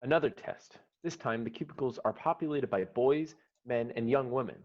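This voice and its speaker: A man's voice: mid-range voice, normal speed. A man reading screenplay stage directions as the narrator of a scripted table read. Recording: slightly dull online call audio.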